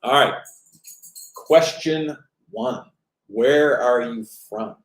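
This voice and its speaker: A man's voice: medium pitch, extremely slow, echoey room, rough recording. A young man from the US Pacific Northwest co-hosting a casual live-stream chat at home, easygoing and rambling with fillers. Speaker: co-host of a couple's channel.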